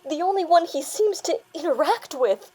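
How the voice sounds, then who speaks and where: A woman's voice: very high-pitched, expressive, moderate pace, dark tone. An actress playing an anxious young British woman in a naturalistic audio drama. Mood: anxious, bewildered. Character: anxious young woman doubting her own sanity.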